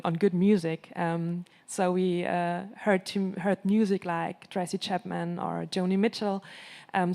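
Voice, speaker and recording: A young woman from Germany, a native German speaker talking in English, chatting as a guest musician in a casual radio interview, soft-spoken with frequent laughs. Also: mid-range voice.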